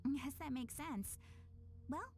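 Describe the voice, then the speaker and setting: A woman voicing a curious, friendly young woman in a video game, talking in a lively, inquisitive way. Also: sits high, slow, soft background music.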